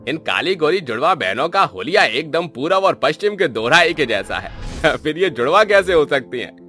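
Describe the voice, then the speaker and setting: A man's voice: mid-range voice, pushed and bright. A man voicing a gossiping, mocking villager in a cartoon, exclamatory and taunting.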